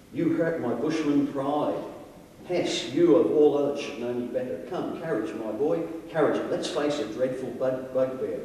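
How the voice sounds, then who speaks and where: A man acts out a headstrong gentleman barrister of colonial days, bound for the bush. His speech is florid, pompous and patronising, in an old storybook manner. It rings through a very echoey hall, some way from the microphone. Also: mid-range voice, slight background noise, close to an everyday voice. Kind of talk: old-fashioned dramatic reading aloud. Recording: very echoey hall, far from mic.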